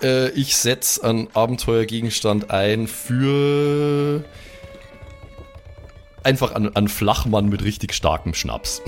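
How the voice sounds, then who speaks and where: A man's voice: lowish voice, a little mellow. A man improvising as a player in a role-playing podcast, rambling in laid-back youth slang. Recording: music behind. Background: instrumental background music.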